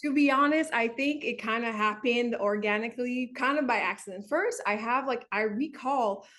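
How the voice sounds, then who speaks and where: A woman's voice: fairly high pitch, moderate pace, a bit bright. A woman from Montreal with a Quebec French accent, French her first language, a bubbly wellness coach answering interview questions. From Montreal, Canada. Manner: bubbly, laughs often.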